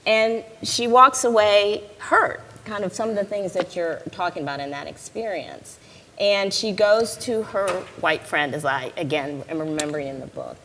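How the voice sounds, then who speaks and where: A woman's voice: medium pitch, moderate pace. A woman moderating a conference panel, retelling a story with animation before asking her question. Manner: animated storytelling, acting out quotes. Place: conference stage, large hall. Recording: long hall reverb, faint noise.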